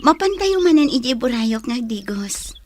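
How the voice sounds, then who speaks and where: An affectionate young sweetheart with a slightly high voice and an Ilocano accent. She acts in radio drama style, with faint noise behind her. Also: very animated, moderate pace. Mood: playful. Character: Sinay, affectionate young woman courted by two men. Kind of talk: Philippine radio drama acting.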